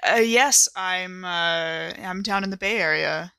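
A woman's voice: fairly low pitch, slow, pushed and bright, clear, resonant tone, no room sound, clean studio sound, full character voice. A woman voicing a genteel, playful, theatrical lady in an improvised tabletop game, with a proper, wry, musing manner.

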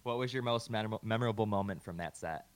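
A young man in his twenties hosts a talk show and interviews a guest over a video call. His voice sits on the low side, and he keeps his questions short and eager. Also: fast, clear, resonant tone. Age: 20s. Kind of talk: casual interview.

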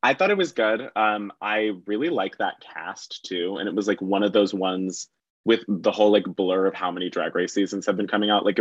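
Very deep-voiced man chatting casually. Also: no room sound, highs cut off. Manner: relaxed, rambling, lots of fillers. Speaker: podcast guest. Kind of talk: casual chat.

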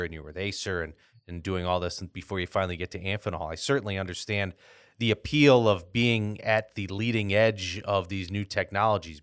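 A man hosting an interview podcast, asking relaxed, friendly questions. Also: low-pitched, no echo, clean studio sound. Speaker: podcast host. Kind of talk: casual interview conversation.

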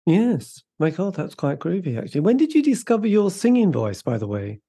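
A man's voice: high voice, sing-song, brisk, warm tone, breathy edge. A man interviewing a guest, asking questions and chiming in briefly. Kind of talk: conversational interview.

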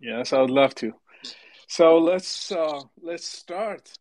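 Slow, hesitant man hosting an audio chat. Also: a little high, a little mellow, no echo. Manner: hesitant, many fillers and restarts. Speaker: host of an audio chat room. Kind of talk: hosting an online audio discussion.

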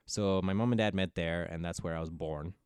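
A man in his thirties who grew up in Ethiopia, a comedian guest telling his story in an animated way with frequent laughter. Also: low voice, a little fast, clear, resonant tone, no room sound, studio quality. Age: 30s. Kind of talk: casual interview chat.

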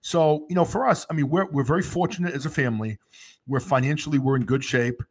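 A man's voice: medium pitch, quick pace. Upbeat talk host in his fifties. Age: 50s. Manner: upbeat, earnest, jokey. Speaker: radio show host. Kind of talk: casual talk show chat.

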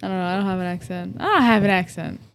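A woman's voice: mid-range voice, rapid-fire, creaky, a little hiss or hum. A woman from Florida, co-hosting a podcast in casual, teasing chat. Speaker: podcast co-host.

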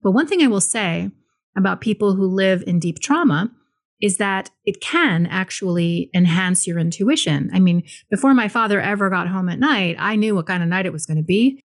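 A woman from Hawaii, a spiritual teacher guesting on a podcast, telling her life story in a calm, reflective, earnest way. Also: medium pitch, normal speed. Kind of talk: interview storytelling.

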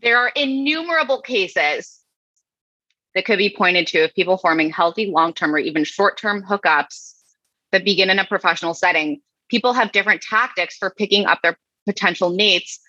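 A woman's voice: medium pitch, moderate pace, pushed and bright, breathy edge, dry recording, lo-fi sound. A woman co-hosting a casual podcast, reading online comments aloud with dry, wry asides. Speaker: podcast co-host.

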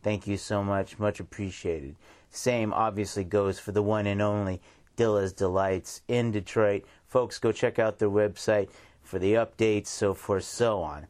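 A man's voice: fairly low pitch, fairly even intonation, a little slow, darkish tone. An American man hosting a radio show, talking informally in a rambling, repetitive monologue. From United States. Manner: rambling, informal, repeats himself. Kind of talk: casual radio monologue with shout-outs.

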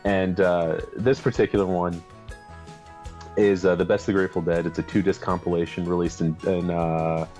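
A sick man co-hosts a casual podcast with a very deep voice, coughing and losing his voice. He talks in a laid-back, halting way, and faint music plays underneath. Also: flat delivery, a little mellow. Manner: laid-back, hesitant with fillers. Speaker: podcast co-host. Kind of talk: casual chat.

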